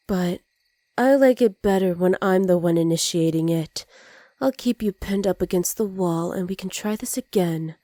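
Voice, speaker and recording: A woman performs a possessive, smug supervillainess in an ASMR roleplay. Her voice is soft, dark and relaxed, recorded very dry and close. She teases and commands in an unhurried, confident way. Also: medium pitch, dry recording, close to an everyday voice. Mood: flirtatious, teasing. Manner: smug, teasing, commanding, often dropping to soft whispers. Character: dominant female supervillain with superpowers, teasing and possessive.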